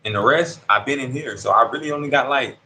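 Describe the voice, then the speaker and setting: A man's voice: medium pitch, fast talker, bright, forceful tone, rough recording. A man in his thirties, an incarcerated interviewee, talking casually in street slang and often checking in with the listener. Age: 30s. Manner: street slang, keeps asking "you see what I'm saying". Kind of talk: casual conversational storytelling. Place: prison dorm.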